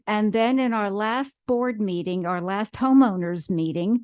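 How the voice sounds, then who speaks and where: A woman testifies before a committee in a measured, formal manner, laying out details point by point with small hesitations. Her mid-range voice sounds dry and close at a podium microphone. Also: medium pitch, darkish tone, no echo. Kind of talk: public testimony. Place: legislative hearing room.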